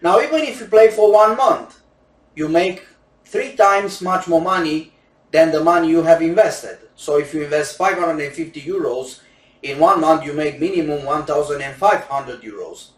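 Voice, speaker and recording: A man with a Romanian accent, a native Romanian speaker using broken English, giving a slow, emphatic sales pitch for roulette courses. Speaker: roulette instructor selling courses. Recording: echoey room, distant mic.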